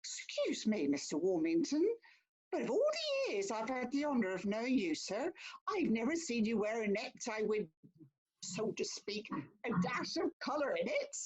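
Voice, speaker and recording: A woman's voice: fairly high pitch, big pitch swings, moderate pace, a little mellow, breathy edge, highs cut off. A woman playing a chatty, deferential but cheeky police sergeant in a theatrical comedy reading. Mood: teasing. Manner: garrulous, respectful, drily teasing.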